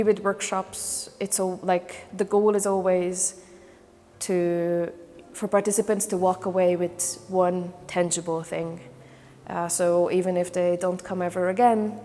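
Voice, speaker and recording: A woman's voice: on the low side, flat delivery, a little slow, warm tone, big-room sound. A woman from Hungary, a volunteer trainer and career counselor, talks through her project in a testimonial interview, thoughtful and full of hesitations and restarts. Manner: thoughtful, many fillers and restarts.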